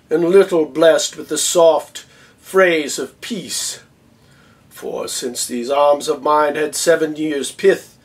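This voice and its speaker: A man playing a proud, dignified general in a theatrical Shakespeare monologue, speaking in a measured, stately way with long pauses and hushed phrase endings. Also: fairly high pitch, distant mic. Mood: formal, dignified.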